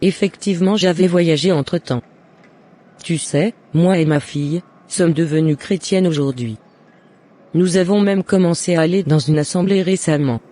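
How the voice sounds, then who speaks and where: Casting: man as earnest cartoon neighbour woman, mellow and dark, slightly high, formal. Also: normal speed, natural voice. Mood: earnest, happy. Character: contrite neighbour woman, newly converted mother.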